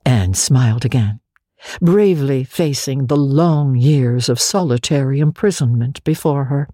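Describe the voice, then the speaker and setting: A woman's voice: extremely low pitch, measured pace, warm tone, no room sound, studio quality. A woman narrating classic fiction as a dramatic audiobook reading.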